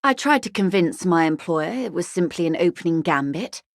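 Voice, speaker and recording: An actress playing a worldly, genteel corporate negotiator in a video game, speaking in a dry, ironic, measured and articulate way. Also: female voice, lowish voice, lively intonation, dry recording. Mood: grave, confiding. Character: worldly, wry corporate negotiator woman, coolly detached and genteel. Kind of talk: scripted video game dialogue.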